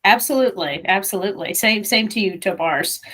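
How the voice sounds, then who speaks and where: A woman's voice: medium pitch, fairly quick, pushed and bright. A woman co-hosting a podcast and putting questions in a casual, conversational way. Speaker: podcast co-host. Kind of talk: casual interview chat.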